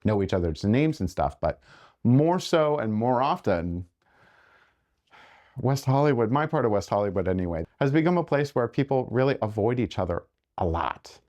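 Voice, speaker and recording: A man's voice: medium pitch, moderate pace. Man in his forties, a podcast guest, reflective and well-spoken, mid-range voice. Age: 40s. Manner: articulate and reflective, with sudden lively rises. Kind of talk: casual chat.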